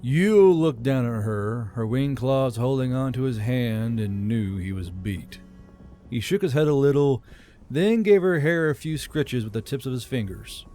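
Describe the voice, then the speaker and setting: A man's voice: medium pitch, expressive, a little slow, warm tone. A man narrating a fantasy story as an audiobook, as the host of his own channel. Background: background music.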